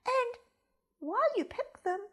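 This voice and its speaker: A woman's voice: very high voice, lively intonation, measured pace, a bit bright, pitched above the performer's own voice, full character voice. A woman voicing a polite, wily storybook goose with a courteous, coaxing manner.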